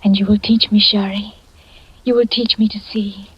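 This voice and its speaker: A woman playing a gentle young blind peasant girl in a 1940s radio drama, soft and trusting. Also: mid-range voice, level tone, moderate pace, husky. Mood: hushed. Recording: dull old radio recording.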